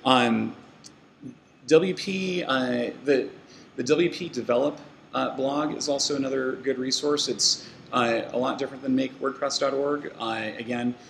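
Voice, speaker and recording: Gravelly man giving a rambling tech talk. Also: mid-range voice, measured pace, fairly bright tone, a little hiss or hum. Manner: loose, rambling, many fillers and restarts. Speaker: meetup speaker. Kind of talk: casual conference talk. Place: meeting room with an audience.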